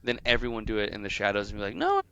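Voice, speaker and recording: Bright, smooth-voiced young man. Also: fairly low pitch, rapid-fire, lo-fi sound. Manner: relaxed, frank, swears casually. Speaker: podcast co-host. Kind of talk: casual chat.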